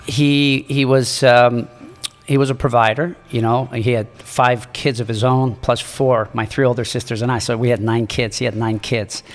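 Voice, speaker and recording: A man in his sixties from Morocco, a native French speaker, telling his life story as a podcast guest in a halting, earnest way. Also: mid-range voice, level tone, in a large hall, soft background music. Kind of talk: reflective storytelling in an interview.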